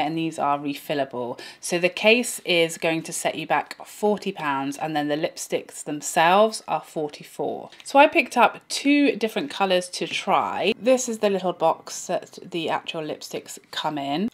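A woman in her thirties, slightly hoarse from a cold, chatting directly to viewers as she reviews a product at home. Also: on the low side. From England. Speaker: beauty vlogger. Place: bright indoor room at home.

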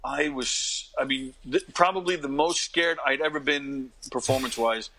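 A man of fifty with a bright, forceful voice at a middling pitch. He talks casually as an interview guest, like an animated storyteller used to performing. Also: normal speed, slight background noise, rough recording. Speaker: interview guest, actor and comedian. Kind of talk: casual interview anecdotes and advice.

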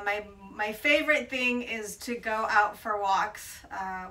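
A woman's voice: mid-range voice, sharp tone, distant mic. A woman in her forties from Colombia, answering interview questions thoughtfully with frequent hesitations. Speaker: interviewed photographer. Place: bright room by a window, indoors.

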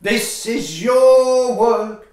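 An actor playing a touchy, grandiloquent Victorian gentleman lodger in a farce audio play. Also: extremely high pitch, very slow, full character voice. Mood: melodramatic. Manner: theatrical, grandiloquent. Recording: echoey room.

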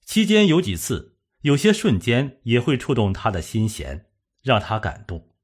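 A man narrating a suspense novel as an audiobook, in a measured, dramatic storytelling manner. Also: mid-range voice, huge pitch swings, breathy edge.

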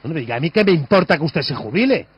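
A man's voice: a little high, sing-song, quick pace, husky, dry recording. A man with an accent from Spain making a prank phone call, pressing on with muddled, insistent questions. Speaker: prank caller.

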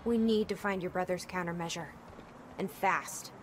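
A woman's voice: on the low side, steady tone, moderate pace, noisy background, natural voice. A woman acting a tough, sardonic, no-nonsense operative in a cinematic video game, terse and dry. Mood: serious, urgent.

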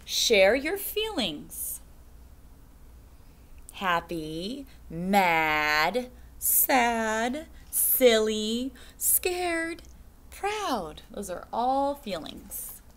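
A woman in her thirties, a teacher, reading a picture book aloud to young children in a classroom with a slow, sing-song storytime delivery. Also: fairly high pitch, big pitch swings, fairly bright tone. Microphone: webcam at desk.

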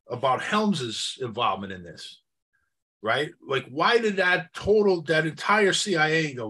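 A man's voice: fairly high pitch, bright, forceful tone, breathy edge. A man co-hosting a podcast, talking through history in an insistent, questioning, conversational way. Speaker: podcast co-host.

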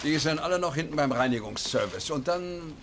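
An actor playing an eccentric, cheeky older father-in-law in a German sitcom dub, with a gravelly voice. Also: fairly high pitch, expressive, fairly quick, noisy background, close to an everyday voice. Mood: cheeky, smug. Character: cranky, eccentric old freeloading father-in-law.

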